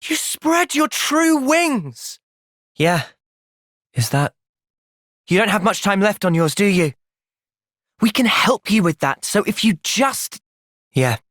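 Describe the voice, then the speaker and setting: A man with a British accent playing a thoughtful, gentle young soldier in video game cutscenes, measured and earnest. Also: high voice, dry recording, clean studio sound, exaggerated voice. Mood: urgent, shouting.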